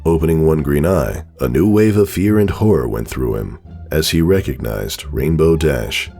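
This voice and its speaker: A man's voice: very deep voice, expressive, normal speed, dark tone, soft background music. A man narrating a story as a scripted dramatic reading.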